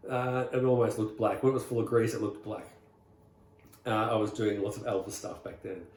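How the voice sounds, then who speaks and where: A middle-aged Australian man, a singer being interviewed, recalling stories in a rambling, relaxed way with many fillers and restarts. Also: fairly low pitch, a little fast, roomy sound. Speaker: rockabilly singer, guest. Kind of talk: casual interview storytelling.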